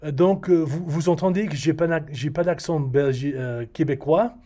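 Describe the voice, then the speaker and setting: A middle-aged male pastor with a Texan accent, a native English speaker, reading a sermon in halting French for a video. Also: on the high side, warm tone. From Texas. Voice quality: halting non-native French with frequent hesitations. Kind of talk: recorded video sermon read from a script.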